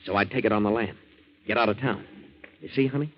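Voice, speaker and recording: An actor playing an earnest, plain-spoken young American boat hand in a 1940s radio drama. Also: male voice, lowish voice, quick pace, slight background noise, lightly acted. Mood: earnest explaining. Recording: muffled 1940s radio broadcast.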